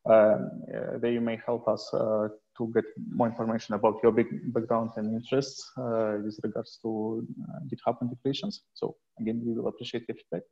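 A man hosting an online tech meetup over a video call, talking in a halting way with many filler sounds. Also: medium pitch, little pitch movement, warm tone. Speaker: meetup organizer. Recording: dull lo-fi video call audio.

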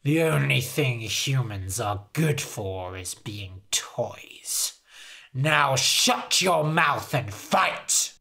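A man doing a huge fairy king with a gravelly, rough edge and a sharp, bright bite to the voice. He drags every word out slowly, scornful and threatening. A storybook villain. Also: medium pitch, expressive, full character voice. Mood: furious. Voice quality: gravelly, sneering. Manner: slow, menacing, growls and shouts.

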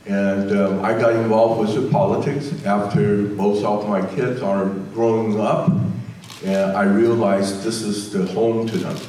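A man in his sixties with a Taiwanese Mandarin accent, speaking haltingly as a panelist in a community hall, with many fillers and restarts. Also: fairly low pitch, flat delivery, dark tone, slight background noise. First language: Mandarin Chinese. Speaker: panelist, state legislator. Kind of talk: panel remarks. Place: large community center hall, indoors. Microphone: handheld mic, filmed from a far camera. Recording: echoey hall from far off.

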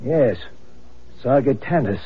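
An actor playing an earnest, confident male paranormal investigator in a vintage radio drama, with a husky voice. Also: medium pitch, animated, slow pace, heavily acted. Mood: earnest, intrigued. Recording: muffled old radio broadcast.

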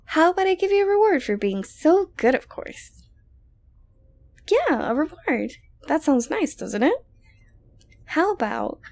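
Obsessive yandere girlfriend, acted by a woman, ASMR roleplay, highish pitch, breathy with creaky edges, coaxing, creepily tender, sadistic. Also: darkish tone, heavily acted. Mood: sweet, upbeat. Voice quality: breathy, often dropping to a whisper. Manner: slow, coaxing, condescendingly sweet. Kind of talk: ASMR roleplay monologue to the listener.

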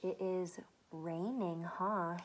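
A young adult woman, a teacher, reading a picture book aloud to small children with expressive delivery and simple chatty questions. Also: on the low side, slow. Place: small furnished room at home, indoors.

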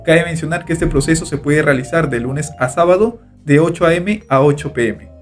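A man calmly narrating a step-by-step screen tutorial as host, walking through each click. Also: a little high, brisk, soft background music. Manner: steady instructional narration, repeats click steps. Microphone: voice-over over screen recording.

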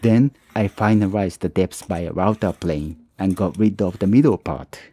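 A man narrating his own woodworking tutorial as a calm voice-over, with plain, slightly non-native phrasing. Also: low-pitched, normal speed. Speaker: woodworking channel host.

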